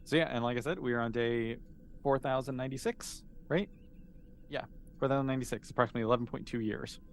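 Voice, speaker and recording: A man of average pitch runs a tabletop game as game master. He improvises the narration haltingly, thinking aloud as he goes. There is faint noise behind his voice.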